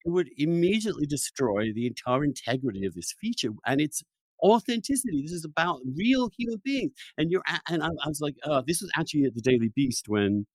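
A male podcast host talks in a lively, swooping pitch, emphatic and opinionated as he works through his points with restarts. The sound is dry and close. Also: fairly quick, no echo, low-quality recording. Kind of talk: conversational interview.

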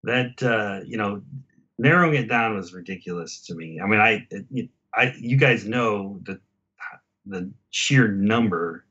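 Middle aged american guy on a podcast, lowish voice, rambly casual chat, bit of a dull lofi sound. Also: measured pace, bright, forceful tone. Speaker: podcast guest. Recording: slightly dull, lo-fi connection.

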